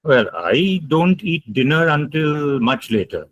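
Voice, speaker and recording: A man's voice: on the high side, very animated, measured pace, fairly bright tone, dry recording. A man giving an online lecture, explaining points emphatically, repeating key ideas and taking questions. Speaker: guest lecturer.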